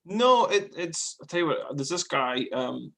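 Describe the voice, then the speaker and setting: Comic from Northern Ireland, gravelly male voice, chatty and self-interrupting over video call. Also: on the high side, very animated, a little slow, a bit bright. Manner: rambling, laughs often, repeats words. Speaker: guest, stand-up comic. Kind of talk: casual video-call chat.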